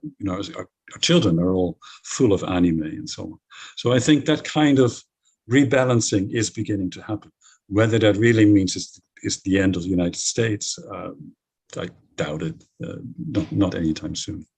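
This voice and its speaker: Husky-voiced Dutch man on a panel. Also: low voice, normal speed, a little mellow. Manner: emphatic, blunt opinions. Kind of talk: panel discussion.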